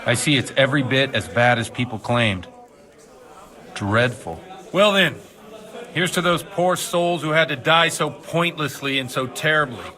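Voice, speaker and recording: An actor playing a worldly, kindly but principled middle-aged village priest in a video game, speaking in a measured, fatherly way. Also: medium pitch, expressive. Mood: sociable, sombre. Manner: measured, fatherly, gently philosophical. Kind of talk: video game cutscene acting.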